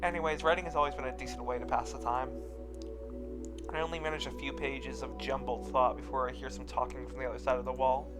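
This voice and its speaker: Someone doing a casual let's-read, narrating a visual novel n chatting, music playing clearly behind. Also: mid-range voice, steady tone, fast talker. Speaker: co-host reading a visual novel aloud with friends.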